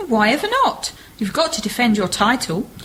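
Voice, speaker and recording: A woman's voice: fairly high pitch, animated, moderate pace, a bit bright, a little hiss or hum. A woman acting a homely, sensible, cautious sister in an amateur radio play, with a questioning manner. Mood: encouraging.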